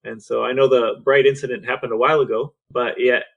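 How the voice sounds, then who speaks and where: A man's voice: mid-range voice, level tone, quick pace, vocal fry, dull audio. A man with an American accent co-hosting a podcast in casual, conversational discussion. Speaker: podcast co-host.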